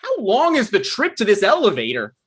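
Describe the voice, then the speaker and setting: An actor playing an awkward, eager, put-upon young job seeker with a halting, flustered way of talking in a comedy table read. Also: male voice, sits high, wildly swooping pitch, fairly bright tone, slightly rough, full character voice. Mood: exasperated.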